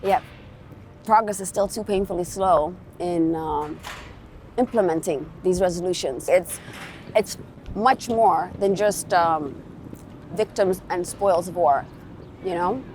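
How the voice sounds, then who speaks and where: A woman's voice: lowish voice, slow, long pauses, a little mellow, slight background noise. A woman from Somalia giving earnest answers as an interview guest about her work in advocacy. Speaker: interview guest, peace organisation executive.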